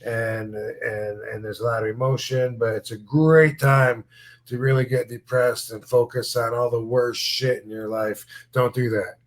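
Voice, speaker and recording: A man's voice: medium pitch, steady tone, unhurried. A man in his fifties hosting a podcast, chatting casually in a rambling, laid-back way with frequent swearing. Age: 50s. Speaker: podcast host, former wrestler.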